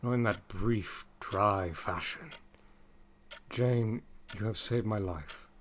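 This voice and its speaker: A passionate, brooding gentleman in a gothic audio drama. His voice is low and deep, commanding and intense in formal phrasing, over faint background noise. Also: takes it slowly. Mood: wounded, intense.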